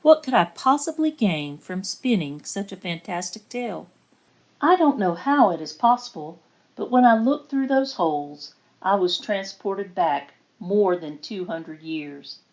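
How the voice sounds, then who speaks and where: An actress playing an earnest woman narrating her own letter, measured and sincere, in a scripted drama. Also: fairly low pitch, poor audio. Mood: earnest, pleading.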